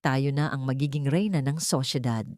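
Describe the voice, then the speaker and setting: A woman's voice: very deep voice, normal speed, darkish tone, no room sound, studio quality, pitched below the performer's own voice. Woman voicing a haughty, scheming young socialite. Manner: bitter, haughty.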